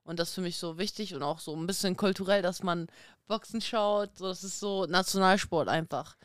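Chatty woman with a smooth, clear voice. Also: lowish voice, no room sound, clean studio sound. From Kazakhstan, raised in Germany. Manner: lively, chatty, quick with fillers and restarts. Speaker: podcast guest, former boxing world champion. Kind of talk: casual podcast interview.

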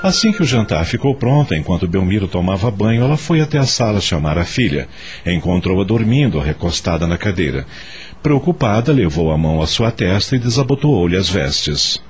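A man's voice: low-pitched, animated, normal speed. A man giving solemn, literary narration for a radio drama in a dramatic storytelling style. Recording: music behind the narration.

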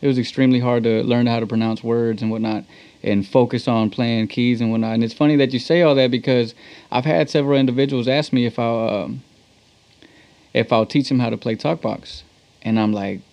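A man's voice: mid-range voice, steady tone, brisk, a little mellow. Slangy Texan man in muffled audio. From Texas. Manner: slangy, conversational. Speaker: interview guest, talk box musician. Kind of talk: casual chat.

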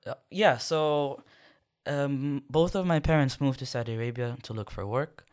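A young man from Sudan, a podcast guest, answering questions in a thoughtful, measured way with many pauses. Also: medium pitch, a little mellow, smooth. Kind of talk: conversational interview answers.

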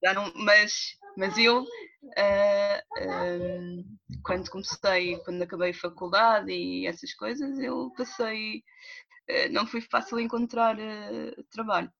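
A woman's voice: mid-range voice, fairly even intonation, takes it slowly, vocal fry, fairly bright tone. A young woman with a Lisbon accent, a guest speaking earnestly in casual conversation and repeating herself to make her point. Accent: Lisbon Portuguese. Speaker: interview guest. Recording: dull lo-fi recording.

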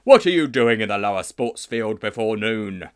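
Booming, overbearing schoolmaster. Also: male voice, big pitch swings, measured pace, a bit bright, heavily acted. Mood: bellowing, suspicious. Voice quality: booming, bellowing. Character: huge-voiced, overbearing, hearty male schoolteacher.

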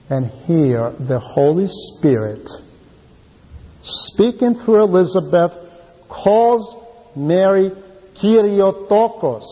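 Male preacher, higher-pitched voice, unhurried emphatic sermon delivery, muffled sound with long reverb. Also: husky. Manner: emphatic, deliberate, repeats key phrases for emphasis. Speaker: preacher reading a translated homily.

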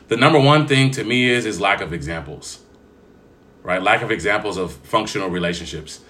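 A young man co-hosts a casual chat in a lowish voice with a bright edge. He speaks in long, measured turns and lays out his reasoning point by point. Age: young adult. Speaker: podcast co-host.

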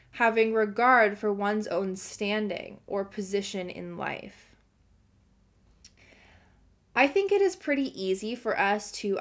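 A young adult woman hosting a self-help podcast, earnest and encouraging, her voice slightly hoarse from a cold. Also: medium pitch. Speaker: podcast host.

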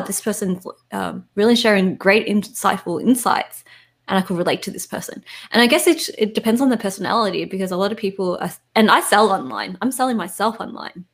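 A woman giving casual, candid answers as an interview guest, correcting herself as she goes. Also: medium pitch. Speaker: interview guest, online content creator.

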